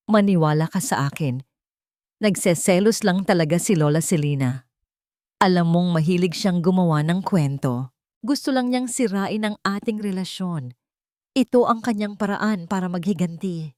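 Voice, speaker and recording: A woman's voice: fairly low pitch, a little slow, pauses often, darkish tone, dry recording, studio quality, natural voice. A woman voicing a scheming wife who pleads with feigned innocence in an audio drama story. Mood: desperate pleading. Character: scheming wife, falsely sweet, pleading and lying desperately.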